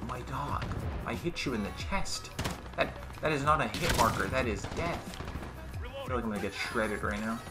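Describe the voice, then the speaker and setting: Raspy young man gaming over loud music. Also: mid-range voice, moderate pace. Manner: casual, slangy, excitable. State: sick, hoarse. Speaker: gaming YouTuber. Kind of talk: live gameplay commentary. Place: indoor room at home. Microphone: headset with webcam. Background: game gunfire and music.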